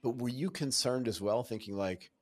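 A man's voice: mid-range voice, moderate pace, noisy background. A man in his fifties hosting an interview, asking long, rambling questions in a joking, conversational manner. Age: 50s. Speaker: podcast host.

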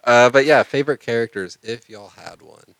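A man's voice: medium pitch, moderate pace, a bit bright, no room sound, poor audio. A man co-hosting a casual anime podcast, talking in blunt, opinionated, sweary banter. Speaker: podcast co-host.

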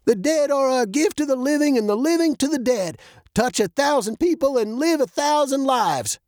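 Narrator doing old wanderer grandpa, storybook style, high swoopy voice, preachy and easily offended, really leans on his points. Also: lively intonation, moderate pace, darkish tone, no room sound, studio quality. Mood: earnest. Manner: emphatic, rhetorical spiel.

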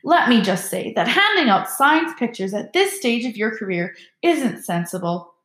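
A woman's voice: a little high, very animated, moderate pace, a bit bright, echoey room. A woman voicing a vain, patronising male celebrity teacher in a storybook, jovial and pompous. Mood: paternal, smug.

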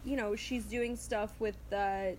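Chatty woman guest, mid pitch. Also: fairly even intonation, a little slow, darkish tone, slight background noise. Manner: easygoing, says "like" a lot. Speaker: guest artist. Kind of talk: casual chat.

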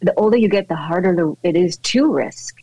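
A woman's voice: lowish voice, expressive, fairly quick, dark tone, slightly rough, no room sound, poor audio. Effusive, chatty woman with a dry sound. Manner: effusive, many fillers and restarts. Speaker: actress guest. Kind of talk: casual interview chat.

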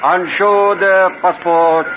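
A man acting a formal official who calls out to travelers in drawn-out phrases in an old-time radio drama. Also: high voice, steady tone, over music. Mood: formal, calling. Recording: dull, noisy vintage radio recording.

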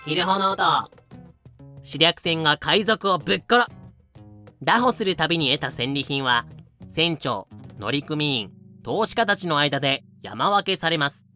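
A man's voice: a little high, expressive, measured pace, sharp tone, faint music behind. A man reading scripted comedic narration for a voice drama, explaining things with dry, sarcastic asides.

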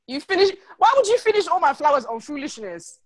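A woman's voice: high voice, brisk. A woman chatting on a podcast, telling stories with energy and acting out the people in them. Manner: animated storyteller, acts out customers. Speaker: guest. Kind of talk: casual podcast chat.

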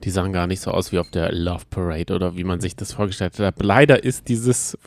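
A man's voice: sits low, steady tone, brisk, a little hiss or hum. A man co-hosting a podcast in casual, playful chat with a joking way of talking. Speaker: podcast co-host.

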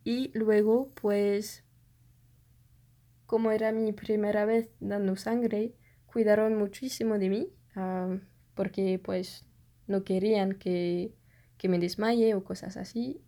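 Young French-native woman vlogger; slow, mellow, hesitant delivery that gropes for words. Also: mid-range voice, fairly even intonation. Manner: hesitant, searches for words, many fillers. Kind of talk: casual storytelling to camera.

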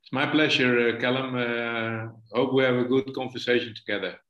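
A man in his sixties with a Dutch accent, a football coach answering interview questions haltingly, often stumbling and repeating words. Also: medium pitch, fairly even intonation. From Netherlands. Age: 60s. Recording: echoey room, dull lo-fi connection.

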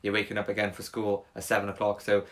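A man's voice: on the low side, completely flat delivery, fast talker, a bit bright. A young man, talking casually as a podcast guest, rambling with many fillers and restarts. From Wales. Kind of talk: casual conversation.

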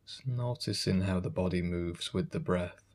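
A man leading a guided meditation as a calm, gentle guide, giving unhurried instructions. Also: low-pitched.

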